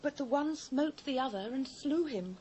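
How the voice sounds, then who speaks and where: A British actress playing a humble, pleading widow in mourning in a dramatised Bible, with formal archaic phrasing. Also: female voice, sits high, expressive, normal speed, dark tone, a little hiss or hum. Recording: dull old cassette transfer.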